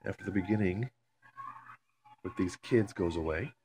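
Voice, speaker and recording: A man with a fairly deep voice talks off the cuff, as in a homemade video. He rambles and swears freely. The phone recording picks up a noticeable hum and noise behind him. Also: normal speed, darkish tone. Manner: foul-mouthed, rambling. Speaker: amateur video maker. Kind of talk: casual vlog chat. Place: carpeted room at home. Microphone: handheld phone camera.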